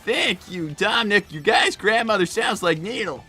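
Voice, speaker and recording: A man's voice: high-pitched, animated, normal speed, bright, forceful tone, soft background music, full character voice. A man voicing a defensive, flustered young male fox brother in improvised banter, stammering and exasperated as he hosts a live stream in character.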